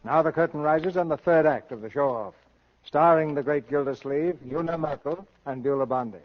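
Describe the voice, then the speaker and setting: A man's voice: a little high, moderate pace, a little hiss or hum. A man announcing and narrating a radio play in a dramatic, scripted style. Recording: dull old radio broadcast. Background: music.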